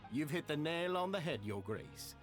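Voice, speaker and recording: Man playing an eager society leader, mid pitch, lively intonation, polite and a little nervous. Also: moderate pace, soft background music, lightly acted. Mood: earnest. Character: earnest male society leader, deferential and eager.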